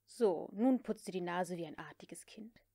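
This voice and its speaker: Female reader doing a cool mocking gentleman in an audiobook, bossy but protective. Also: lowish voice, normal speed, no echo, clean studio sound, voice pushed down. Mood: tender, soothing. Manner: sardonic, crisp, commanding. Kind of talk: dramatic audiobook character reading.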